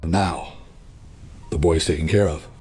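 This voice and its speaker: A man playing a calm hospital doctor in a radio drama, reassuring and matter-of-fact. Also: sits low, a little slow. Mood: calm reassurance.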